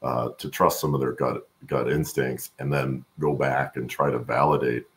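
A middle-aged man with a gravelly voice answering interview questions conversationally on a video call from home, haltingly thinking aloud. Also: very deep voice, little pitch movement. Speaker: guest, supply chain expert and voice-over announcer.

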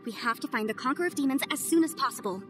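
A woman voicing a young, analytical adviser in a game, who explains things in a measured, methodical way. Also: sits high, animated, music in the background. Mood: thoughtful. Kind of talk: game cutscene voice acting.

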